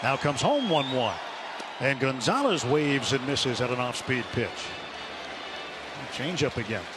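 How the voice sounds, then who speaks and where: A man calling baseball play-by-play on the radio, building excitement on big pitches. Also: measured pace, loud music behind the voice. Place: ballpark broadcast booth. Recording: radio broadcast over loud crowd noise.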